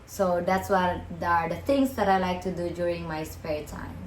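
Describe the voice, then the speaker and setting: A woman's voice: fairly low pitch, steady tone, moderate pace, reverberant, a little hiss or hum, poor audio. A woman in her twenties from the Philippines, chatting casually and giggly, with loose non-native phrasing. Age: 20s. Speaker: vlogger's partner. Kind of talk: casual to-camera chat.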